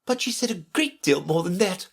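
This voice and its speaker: Woman acting moaning, fretful high-voiced storybook queen. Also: huge pitch swings, measured pace, husky, heavily acted.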